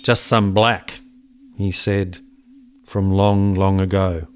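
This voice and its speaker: An actor playing a haunted farmer's son in a radio play, telling of a buried secret in a solemn, measured way. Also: male voice, sits low, flat delivery, a little hiss or hum.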